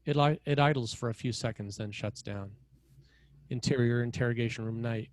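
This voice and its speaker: A man's voice: mid-range voice, a little fast, darkish tone. A man reading scene headings and stage directions as the narrator of a screenplay table read.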